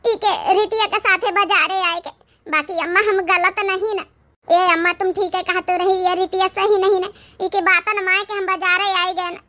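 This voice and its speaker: Cartoon village daughter played by a woman: very high, bright, naive, wounded, Awadhi accent. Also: fairly even intonation, normal speed. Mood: remorseful. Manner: plaintive, reproachful. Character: betrayed, tearful, naive young village daughter. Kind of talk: cartoon comedy voice acting.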